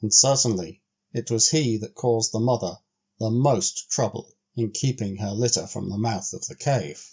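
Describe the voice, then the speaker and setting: A man reading literary narration as a storyteller, in a measured, deliberately phrased, actorly way. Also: fairly low pitch, darkish tone, breathy edge, dull audio. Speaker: audiobook storyteller.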